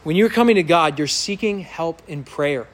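A man's voice: fairly high pitch, animated, normal speed. Earnest, emphatic preaching man. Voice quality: loud voice. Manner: earnest, emphatic, building to impassioned peaks. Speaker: pastor. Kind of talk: sermon. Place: church sanctuary with congregation.

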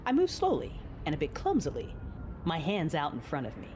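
A low-voiced, brooding young Jedi. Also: female voice, wildly swooping pitch, brisk, background noise. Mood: reflective. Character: intense, brooding young female Jedi fallen to darkness. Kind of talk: first-person dramatic audiobook narration.

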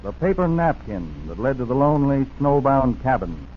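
A man's voice: mid-range voice, sing-song, moderate pace, dull audio, a little hiss or hum. An American man announcing in urgent, melodramatic 1940s radio style, on an old broadcast recording. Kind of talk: 1940s dramatic radio narration.

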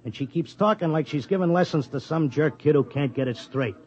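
An actor playing a streetwise Broadway race tipster, talking blunt and slangy in a 1950s radio drama. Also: male voice, fairly high pitch, a little fast, darkish tone, dull audio, natural voice. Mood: determined. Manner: slangy, blunt, tough-guy talk. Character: streetwise Broadway racetrack tipster, devoted husband. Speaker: narrator and lead.

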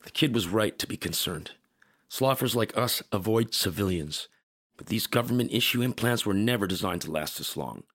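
An actor playing a weary, determined cybernetic veteran soldier in an audio drama, speaking tersely with a gravelly, husky voice. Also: male voice, animated, normal speed, a bit bright. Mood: grim, watchful. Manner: terse, low-key military delivery.

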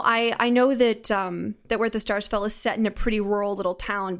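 A young woman speaking conversationally in an interview as a writer and creator. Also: medium pitch. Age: young adult. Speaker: audio drama writer and creator.

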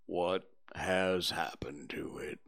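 A man voicing a gloomy old male donkey in a storybook reading, speaking in a low, sighing, half-whispered drawl. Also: slow, fairly bright tone, smooth, full character voice.

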